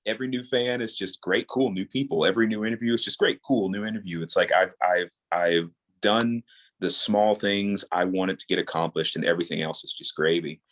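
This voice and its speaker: Guy in his 30s w a low voice, earnest podcast guest, kinda motivational. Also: fairly even intonation, brisk. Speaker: guest, audio drama creator. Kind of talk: casual podcast interview.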